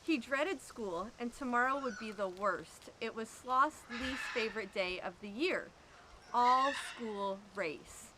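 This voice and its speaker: A woman reading a children's picture book aloud, with expressive, drawn-out emphasis. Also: fairly high pitch, background noise. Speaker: storyteller at an animal sanctuary.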